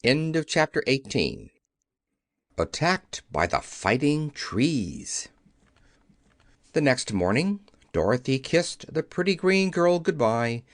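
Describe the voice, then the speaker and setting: A man's voice: medium pitch, no echo, clean studio sound. A man narrating a children's story from a script, as in an audiobook.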